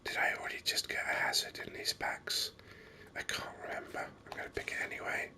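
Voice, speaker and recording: Whispering man, bright and crisp hiss. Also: a little fast. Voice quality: breathy, unvoiced whisper. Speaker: gaming video host. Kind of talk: whispered ASMR game commentary.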